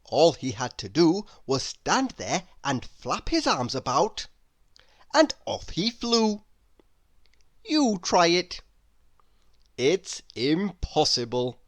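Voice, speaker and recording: A woman's voice: low-pitched, sing-song, takes it slowly, pauses often, no echo. A woman reading a children's story aloud as narrator. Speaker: storybook reader.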